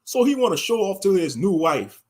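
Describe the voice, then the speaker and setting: A man from Louisiana with the accent to match hosts a casual livestream. His voice sits a little high for a man. He talks colloquially, commenting loosely and repeating phrases to drive them home. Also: very animated, moderate pace, darkish tone. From Louisiana, United States. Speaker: livestream host.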